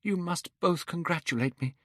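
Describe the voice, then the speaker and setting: A man's voice: on the high side, moderate pace, fairly bright tone, no echo, studio quality, pitched above the performer's own voice. A man voicing a giddy lovestruck young man.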